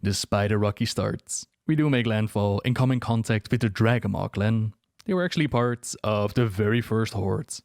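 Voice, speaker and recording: A man's voice: fairly low pitch, normal speed, vocal fry, a little mellow, no echo, clean studio sound. A man narrating a video game story recap in a scripted but conversational style.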